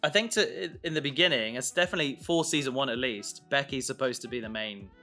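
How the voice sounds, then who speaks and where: Guy co-hosting a casual discussion, bright crisp edge, kinda halting, bit of music behind him. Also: mid-range voice. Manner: informal, halting, thinks aloud. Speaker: co-host, audio drama creator. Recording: music playing underneath.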